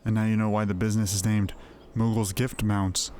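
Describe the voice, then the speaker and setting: A man voicing a rough, working-class male stablehand in a game who talks in a folksy, colloquial way. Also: on the low side, flat delivery, a little fast, mellow, slight background noise, natural voice. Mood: storytelling.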